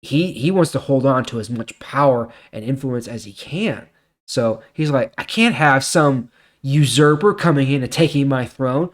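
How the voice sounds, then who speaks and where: A man with a medium-pitched voice hosts a Bible reading series. He reads scripture and explains it in plain, informal terms, leaning on key words as he goes. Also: expressive, moderate pace. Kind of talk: scripture reading with casual commentary.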